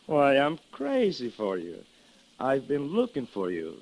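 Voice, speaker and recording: An actor playing a cold, mocking killer in an old radio drama. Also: male voice, mid-range voice, wildly swooping pitch, slow, a little mellow, heavily acted. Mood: mocking menace. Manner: sly, mocking, threatening. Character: menacing, smooth Mexican male killer, mocking and cold. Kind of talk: 1940s-50s radio drama acting.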